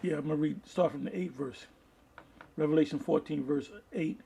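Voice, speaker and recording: A man preaching informally online, reading aloud and commenting in a halting way, often restarting his phrases. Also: fairly high pitch, fairly even intonation, gravelly, dark tone, a little hiss or hum. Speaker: preacher reading articles and scripture to an online audience.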